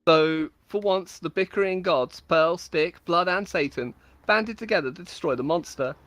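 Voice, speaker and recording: A woman's voice: on the low side, normal speed, no echo. A woman storyteller giving a dramatic, mock-epic reading of comic fantasy stories, with amused asides. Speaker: storyteller on a game server.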